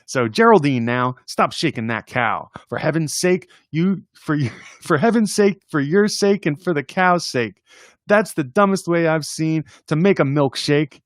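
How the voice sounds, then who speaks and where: A man's voice: fairly high pitch, animated, measured pace, dry recording, studio quality. A man reading humorous children's poems aloud in an informal, chatty, amused way, as a story-time presenter. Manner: informal, chuckling, chatty asides between readings.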